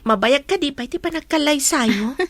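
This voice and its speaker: Curious caring workmate, really high voice, filipino accent, radio drama. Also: female voice, lively intonation, a little fast, fairly bright tone, no room sound, faint music behind. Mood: curious, friendly. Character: worried, curious female restaurant co-worker and friend.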